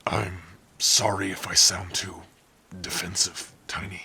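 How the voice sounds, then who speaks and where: Male actor as orc warrior, gruff yet tender, protective, slow halting delivery, flat intonation, intimate ASMR roleplay, soft rain behind. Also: exaggerated voice. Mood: tender, earnest. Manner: slow, hushed, halting, earnest. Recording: faint rain and rumble noise.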